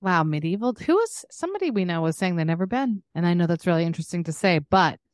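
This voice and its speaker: A woman's voice: lowish voice, sing-song, quick pace, dry recording. A woman co-hosting a podcast in casual conversation, reacting with dry understatement. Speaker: podcast co-host.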